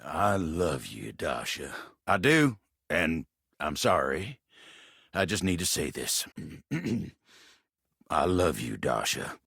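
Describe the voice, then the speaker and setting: A man voicing a gentle, lovestruck living table in a video game, with a gravelly, husky Southern American drawl and a bashful, earnest, folksy manner. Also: rumbling low, very animated, takes it slowly, long pauses, dry recording, clean studio sound, exaggerated voice. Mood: earnest, loving. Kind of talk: video game character acting.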